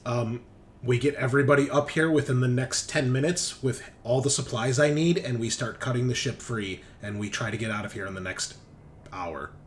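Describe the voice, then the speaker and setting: A man playing an anxious, weary ship repairman in a tabletop game, halting and grave, repeating himself. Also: medium pitch, close to an everyday voice. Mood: urgent. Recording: clearly noisy tabletop session recording.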